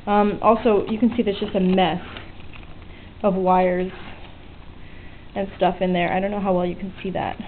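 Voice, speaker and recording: A woman's voice: mid-range voice, level tone, moderate pace. Woman doing an offhand hobby vlog at home, kinda sweary and self-mocking, tinny phone-like sound, bit of hiss. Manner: informal, self-deprecating, mildly sweary. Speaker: hobbyist vlogger showing an electronics project. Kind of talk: casual unscripted show-and-tell. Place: small home work area by an open window. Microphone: handheld camera's built-in mic. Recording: tinny, band-limited camera audio with hiss. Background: faint outdoor weather ambience.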